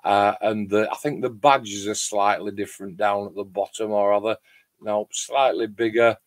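A middle-aged man with a loud voice, chatting casually and in a rambling way as host of a hobby livestream. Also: on the low side, fairly even intonation, normal speed, no echo. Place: home garage workshop shed.

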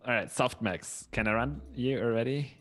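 A man's voice: mid-range voice, normal speed, a bit bright, soft background music. A man with an Austrian accent, a native German speaker, chatting casually and reading aloud as co-host of a study livestream. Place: small room indoors. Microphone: microphone on a boom arm close to the mouth.

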